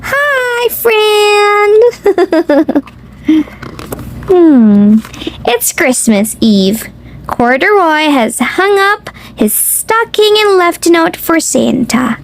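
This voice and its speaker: Female children's read-aloud host, extremely slow delivery, big lively pitch swings, speaks straight to young listeners. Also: a little hiss or hum. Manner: sing-song, exclamatory, addressing young children directly.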